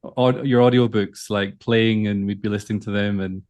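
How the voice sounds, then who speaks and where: A man's voice: on the low side, steady tone, normal speed, darkish tone, muffled recording. A man with a Scottish accent, hosting a podcast interview in a friendly, casual way. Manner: friendly, with fillers. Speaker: podcast host.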